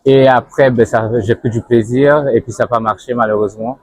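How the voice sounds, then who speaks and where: A man's voice: medium pitch, fairly even intonation, a little fast, no echo. Young man, dry close sound. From Zurich, Switzerland. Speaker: interviewee, banker and model. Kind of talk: casual interview answers.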